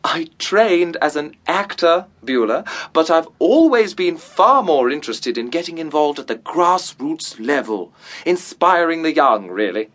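Pompous am-dram director: high-pitched, widely swinging delivery, grand theatrical enunciation, comic radio drama, some background noise. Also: male voice, big pitch swings, unhurried, fairly bright tone, heavily acted. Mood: self-important. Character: pompous, self-important amateur theatre director, a man.

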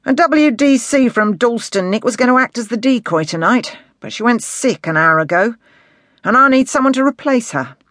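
A man performs a brash male detective inspector for an audiobook. The voice is raised and forceful, blunt and used to being obeyed, with a very dry, close sound. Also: high-pitched, very animated, moderate pace, no room sound, voice pushed up. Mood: brisk, persuasive. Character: brash, commanding male police detective inspector, cheeky charmer. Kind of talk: audiobook character dialogue.

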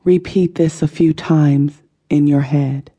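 A woman narrates a guided meditation in a very dark, relaxed voice with a breathy, husky grain. She speaks slowly and deliberately, soothing the listener and letting each phrase trail off softly. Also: low-pitched. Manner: slow, soothing, deliberate delivery with soft phrase endings. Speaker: audiobook narrator.

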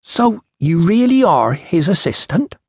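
Audiobook role: proud, indignant ancient tribeswoman, played by a male narrator, raised pitch, slow and emphatic, blunt. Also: very animated, darkish tone, breathy edge, no echo, heavily acted. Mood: insistent, indignant.

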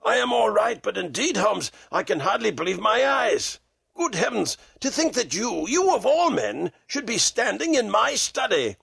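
Man playing an excitable gentleman companion, high-pitched. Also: moderate pace, creaky, hardly any pauses, husky, no room sound, full character voice. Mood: amazed, overjoyed. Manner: emphatic, exclamatory. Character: astonished, devoted gentleman companion, excitable and warm-hearted.

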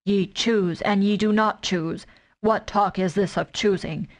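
A woman voicing a furious, boastful male tiger who speaks slowly and threateningly in a storybook reading. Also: medium pitch, fairly even intonation, close to an everyday voice. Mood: scornful.

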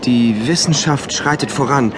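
A man's voice: mid-range voice, unhurried, background noise, lightly acted. A man playing an earnest, dogmatic engineer loyal to a regimented state in a radio drama, speaking in a formal, didactic way. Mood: lecturing. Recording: loud urban outdoor ambience behind.

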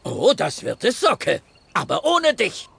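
A man's voice: sits high, huge pitch swings, unhurried, gravelly, a bit bright, slightly rough, exaggerated voice. An actor playing a stern male dog who runs the contest with authority in a children's audio drama. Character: stern male dog, fair organiser in charge.

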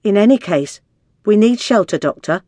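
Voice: mid-range voice, brisk, no echo, studio quality, close to an everyday voice. An audiobook narrator voicing blunt, indignant islander women with a scolding, colloquial manner. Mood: indignant, insistent. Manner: scolding, colloquial tag questions.

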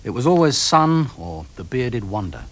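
A dry, put-upon young police officer tells a crime story for radio. His husky, fairly low voice moves freely up and down through clipped descriptions. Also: sing-song, normal speed. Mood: weary, dry. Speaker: detective sidekick and narrator character. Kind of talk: first-person narration in radio crime drama.

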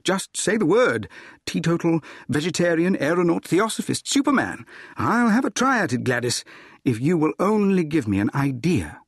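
A man's voice: mid-range voice, wildly swooping pitch, slow, vocal fry, breathy edge, no room sound, studio quality, full character voice. A man playing an impulsive young suitor in a storybook romance, pleading earnestly and eagerly for love.

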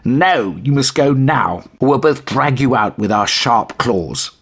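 Bully cat for a children's tale, voiced by a man: large, menacing, mocking, growly, very animated. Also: medium pitch, unhurried, gravelly, slightly rough, pitched above the performer's own voice, heavily acted. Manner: menacing, taunting.

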